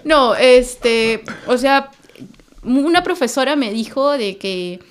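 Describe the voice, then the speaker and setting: A young adult woman, a podcast guest, telling animated stories about her job, laughing often and acting out what her colleagues say. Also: sits high, takes it slowly, clear, resonant tone. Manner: animated storyteller who acts out quoted colleagues, laughs often. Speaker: podcast guest, school worker. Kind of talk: casual conversational anecdotes.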